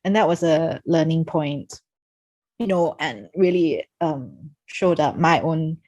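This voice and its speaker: A woman's voice: low voice, slow pace, darkish tone. A woman with a Singaporean accent speaking reflectively as a panel moderator on a video call, hesitantly thinking aloud.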